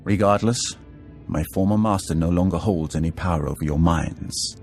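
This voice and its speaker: An ancient Sith lord for a game cutscene. The voice is very deep, measured and formal, with portentous calm, over background music. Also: male voice. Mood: solemn, earnest. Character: solemn centuries-old Sith lord, calm defector with a vision.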